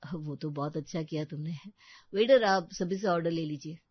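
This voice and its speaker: A mild, lovestruck young man in a storybook drama , as a woman plays him, with a low voice for a woman. He speaks in an accommodating, indulgent way, in a dry, slightly dull recording. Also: moderate pace, breathy edge, no echo. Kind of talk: Hindi audio story acting.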